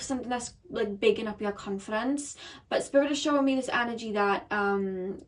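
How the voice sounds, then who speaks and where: Woman, croaky shaky voice, rambling tarot reading, a bit off-mic. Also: medium pitch, recorded from a distance. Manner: chatty, rambling with many ums and likes. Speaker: tarot reader. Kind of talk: pick-a-card tarot reading. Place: seated behind a camera at home.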